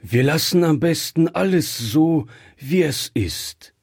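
A man voicing a cautious, gruffly kind old shopkeeper in a storybook, measured and hesitant. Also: a little high, expressive, darkish tone, no echo, clean studio sound, pitched above the performer's own voice, exaggerated voice. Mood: hasty, protective. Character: grey-headed old male shopkeeper, cautious, guilt-ridden, gruffly kind. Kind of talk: acted storybook dialogue.

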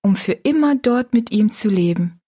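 A woman voicing an earnest, pious little girl who gently explains a Bible verse to a friend. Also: medium pitch, lively intonation, normal speed, breathy edge, pitched above the performer's own voice.

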